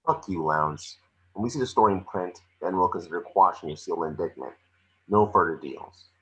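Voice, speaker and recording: A man's voice: sits low, fairly quick, close to an everyday voice. A man playing a blunt, commanding FBI chief in a screenplay table read. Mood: hostile. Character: gruff, hard-nosed male FBI chief, blunt and commanding. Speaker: amateur actor in an online table read. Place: remote video call. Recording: muffled video call audio.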